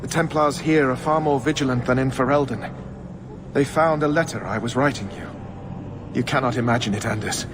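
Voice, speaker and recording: An actor voicing a broken captive mage, solemn and sorrowful, in a dramatic fantasy video game. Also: male voice, mid-range voice, fairly quick, lightly acted. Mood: anguished, resigned. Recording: music behind the voice.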